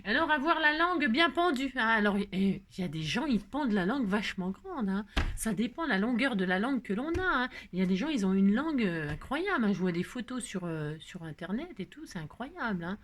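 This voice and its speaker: A woman's voice: fairly high pitch, big pitch swings, brisk, fairly bright tone, slight background noise. A woman hosting a craft video, chatting casually in a rambling, jokey way full of digressions. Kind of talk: casual chat.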